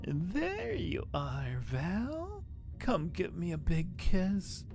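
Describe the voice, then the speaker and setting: A man voicing a creepy, sweet old woman in a spooky game, with cooing, drawn-out words. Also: sits high, wildly swooping pitch, creaky, a little mellow, pitched above the performer's own voice, exaggerated voice. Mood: cooing, coaxing. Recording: music behind the voice.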